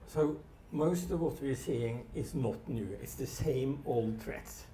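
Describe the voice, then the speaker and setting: A middle-aged man with a Norwegian accent and a mellow, relaxed voice talks informally on a panel. The room is echoey and he sounds a little far from the mic. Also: medium pitch, takes it slowly. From Norway. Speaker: technology panelist. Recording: echoey room, distant mic, background noise.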